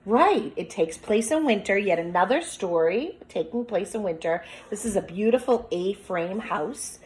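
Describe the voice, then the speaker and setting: A woman's voice: medium pitch, animated, normal speed, a little mellow, slight background noise, low-quality recording. Woman teacher doing a picture-book read-aloud. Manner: instructive, prompting listeners with questions as if to a class.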